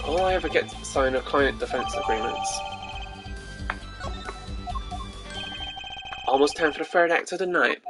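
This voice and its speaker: Casting: woman as hesitant young lawyer guy, wry game hero, low voice over music. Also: fast talker, lightly acted. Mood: wry, reluctant. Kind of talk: hobby voicing of video game dialogue.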